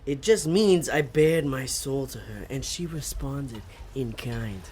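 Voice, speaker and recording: A man's voice: mid-range voice, takes it slowly, darkish tone, slight background noise, natural voice. A man playing an earnest, sentimental demon sidekick in an audio comedy drama, sincere and slightly pedantic. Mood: sincere, sheepish.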